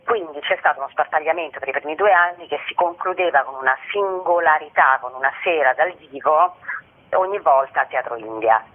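The sound is thin and narrow, like a telephone or small radio. A man with a fairly high voice gives an informal presentation. He is wordy and digressive, often doubling back to correct himself. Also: brisk. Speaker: theatre company member presenting a festival programme.

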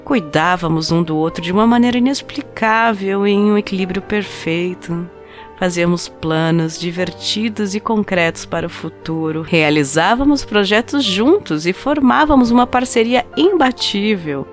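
A woman's voice: mid-range voice, expressive, normal speed, lightly acted. A woman acting a heartbroken young woman who tells the story of her lost love in an intimate, confessional way. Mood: longing, intimate. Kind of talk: dramatized first-person short story narration in a podcast. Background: music under the voice.

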